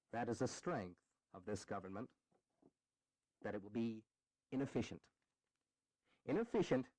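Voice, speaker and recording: Casting: wry, thoughtful colonial delegate, deliberate rhetoric, medium-pitched voice with lively swings. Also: male voice, no echo, low-quality recording. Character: thoughtful, wry statesman championing limited government. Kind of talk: historical audio drama acting.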